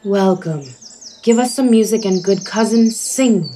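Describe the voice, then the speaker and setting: A woman's voice: mid-range voice, unhurried, mellow, slight background noise, lightly acted. A woman playing a noble, commanding duke in a Shakespearean radio play. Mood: gracious.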